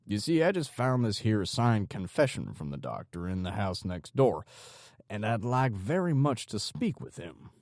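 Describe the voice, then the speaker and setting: A man voicing a calm, sinister male psychopathic gangster in an audiobook, with menacing, mock-teacherly politeness. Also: lowish voice, a little slow, creaky, no room sound, studio quality, exaggerated voice. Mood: angry, serious. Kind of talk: audiobook character dialogue.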